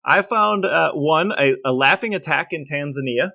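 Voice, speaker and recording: A man's voice: a little high, lively intonation, moderate pace, creaky, pushed and bright, no room sound. Dry-humored American man, close dry recording. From Elmore, Ohio, United States. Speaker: podcast co-host. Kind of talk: casual chat with researched stories.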